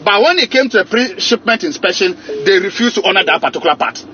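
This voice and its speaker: A muffled, noisy phone recording carries a man with a high, bright voice and a Ghanaian accent. He presses his explanation insistently, repeating words and phrases as he argues his point. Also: lively intonation, fast talker. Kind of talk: private phone conversation, explaining and arguing.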